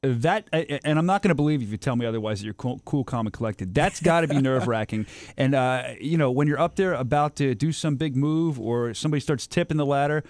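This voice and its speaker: A man's voice: medium pitch, fast talker, hardly any pauses, darkish tone, no room sound. Brief: male interviewer, keen and chatty, restarts his questions a lot, dry close-miked sound. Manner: eager, rambling questions with restarts.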